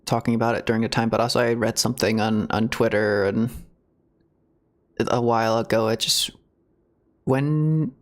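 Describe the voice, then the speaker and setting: A man's voice: medium pitch, fairly even intonation, moderate pace, pauses often. A man giving casual live reactions as a game streamer, rambling and searching for words.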